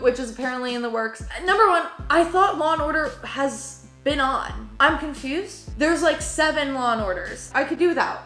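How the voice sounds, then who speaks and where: A young woman talks straight to the camera in a chatty, animated way, with playful sarcasm running through it. Her voice sits a little high and sounds bright and forceful. It is recorded in an echoey room with faint music underneath. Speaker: vlogger. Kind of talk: casual opinion vlog, ranking shows.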